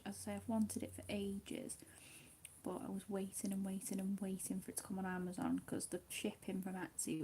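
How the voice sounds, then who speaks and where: Woman, livestream host, soft half-whispered chatter, hesitant, mid-pitched voice. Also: slight background noise. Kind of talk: casual chat while colouring.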